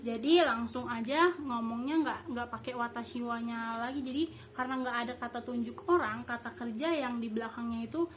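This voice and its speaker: A young woman speaks in a high voice. She teaches in an informal, improvised way, stopping to search for words and correct herself. There is clear noise in the recording. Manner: halting, improvised explaining with frequent self-corrections. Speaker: host teaching basic Japanese. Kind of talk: informal language review lesson.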